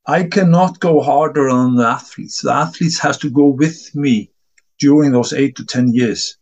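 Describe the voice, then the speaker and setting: A man's voice: medium pitch, normal speed, darkish tone, lo-fi sound. Earnest Icelandic-born man, middle-aged. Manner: earnest, repeats words when thinking, non-native grammar. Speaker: discus coach. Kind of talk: interview answer. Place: home.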